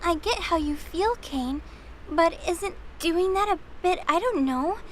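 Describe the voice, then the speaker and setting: A woman playing a timid, gentle young female companion who speaks hesitantly in an audio drama. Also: very high-pitched, expressive, unhurried, a little hiss or hum. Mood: concerned, hesitant. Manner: hesitant, tentative.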